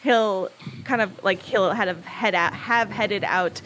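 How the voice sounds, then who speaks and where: A woman's voice: mid-range voice, measured pace, a bit bright, a little hiss or hum, poor audio. Woman narrating a tabletop game. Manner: casual, hesitant, many fillers. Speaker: game master.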